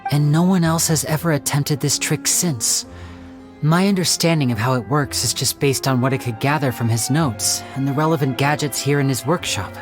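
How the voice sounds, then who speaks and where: An actor voicing a suave, theatrical young stage magician in a video game, explaining a trick in a smooth, measured way. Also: male voice, mid-range voice, close to an everyday voice. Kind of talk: video game cutscene dialogue. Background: soft background game music.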